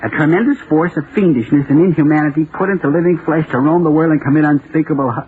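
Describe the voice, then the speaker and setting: An actor playing a nervous, self-doubting American writer in an old-time radio drama, talking to himself in a halting, theatrical way. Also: male voice, fairly high pitch, lightly acted. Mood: ominous dictating. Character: jittery, self-doubting male radio playwright sliding into terror. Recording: tinny, noisy old radio recording.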